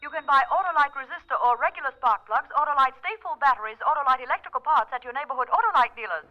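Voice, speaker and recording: A woman's voice: high-pitched, expressive, fast. A woman reading a scripted 1940s radio advertisement as a sponsor announcer. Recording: dull vintage radio recording.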